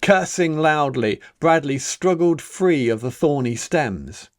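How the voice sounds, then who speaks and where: A man reading a children's picture book aloud as its author, in a dramatic, deliberate storytelling manner with emphatic pauses. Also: fairly high pitch, lively intonation, no room sound, clean studio sound. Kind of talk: expressive children's story narration.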